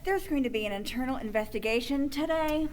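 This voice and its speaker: A woman's voice: high-pitched, brisk, natural voice. A woman playing a cold, commanding scientist in a radio drama, curt, controlled and authoritative. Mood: curt, businesslike. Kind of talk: superhero comedy radio drama acting.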